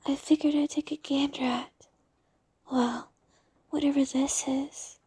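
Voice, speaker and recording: A woman voicing a curious, easily bored succubus in a whispered ASMR roleplay, breathy and casual, with an inquisitive, teasing manner. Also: high voice, level tone, measured pace, dark tone, dry recording. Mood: bored, playful.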